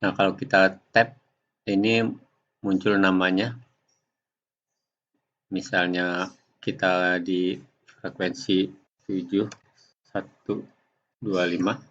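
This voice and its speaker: Man, lowish voice, halting step-by-step tutorial talk, muffled lo-fi home recording. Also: level tone, a bit bright. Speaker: software tutorial presenter. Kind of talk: unscripted screen-recording tutorial.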